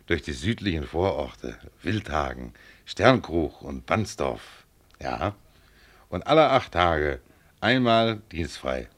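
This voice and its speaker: Very deep, unhurried contented tram driver. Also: male voice, sing-song, a bit bright, exaggerated voice. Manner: plain-spoken, unhurried, chatty. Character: contented tram driver near forty, modest, proud family man. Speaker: actor in a radio play. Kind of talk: German radio play acting, conversational monologue.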